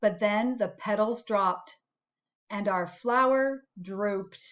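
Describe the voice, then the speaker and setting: A woman voicing a self-declared bad little sunflower seed in a storybook, confessing with deliberate dramatic phrasing. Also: medium pitch, fairly bright tone, highs cut off. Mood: wistful, dramatic.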